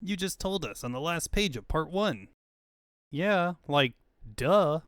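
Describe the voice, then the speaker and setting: A man's voice: a little high, lively intonation, slow pace, fairly bright tone, no room sound, clean studio sound. A man voicing a chipper young male fox sidekick with quippy, innocent questions in a comic book fandub. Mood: surprised, cheeky.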